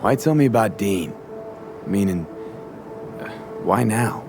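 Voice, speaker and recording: Game role: young street racer for hire, deep-leaning voice, composed, terse, over prominent music. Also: male voice, animated, slow. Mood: calm, curious. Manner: laconic, clipped, understated. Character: calm, dry young male street mercenary racer. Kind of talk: video game voice acting.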